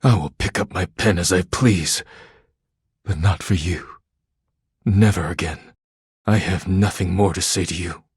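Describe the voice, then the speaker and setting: A man playing a proud, thin-skinned poetic living dish in a video game, speaking in a formal, deliberate, grave manner. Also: low-pitched, husky, no room sound, studio quality, full character voice. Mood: wounded, cold. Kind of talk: video game character acting.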